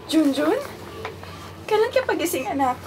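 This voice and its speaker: A woman's voice: high-pitched, big pitch swings, measured pace, background noise, exaggerated voice. A woman acting a hurt, furious betrayed wife and mother in a radio drama. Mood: soft, worried.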